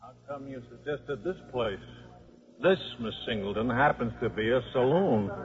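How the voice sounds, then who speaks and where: An actor playing a wisecracking, scheming football coach and father, brisk and slangy, in a 1950s radio drama. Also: medium pitch, expressive, close to an everyday voice. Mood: sardonic, irritated. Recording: muffled vintage 1950s radio broadcast.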